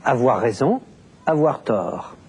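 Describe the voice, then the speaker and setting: A man narrates a language lesson in a deliberate, explanatory way and enunciates every word clearly. He speaks very slowly, and his pitch moves widely up and down. Faint background noise sits under his voice. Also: expressive, a little mellow. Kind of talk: scripted teaching narration.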